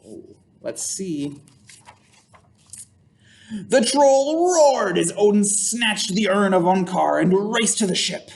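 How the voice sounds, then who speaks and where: A man's voice: sits high, lively intonation, vocal fry, a little mellow, a little hiss or hum. A man reading a picture book aloud to children as a storytime host, theatrical and exclaiming, with playful asides. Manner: theatrical, exclaiming, drawn-out emphasis.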